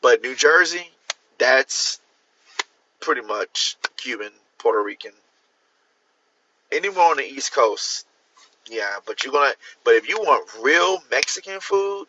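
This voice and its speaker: A man from Miami chatting casually on a livestream, rambling with restarts and jumping up in pitch to stress a point. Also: pushed and bright, dry recording, muffled recording. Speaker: author hosting a livestream. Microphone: phone or computer mic.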